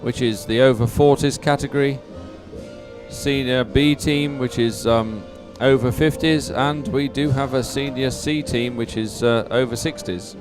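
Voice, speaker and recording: A man's voice: medium pitch, steady tone, unhurried, over music. A man giving live, unscripted sports commentary in a chatty, hesitant, explanatory way.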